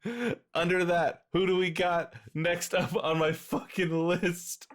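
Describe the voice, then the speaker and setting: Casting: livestream host, mid-range voice, casual, brisk, giggly, sweary. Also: mid-range voice.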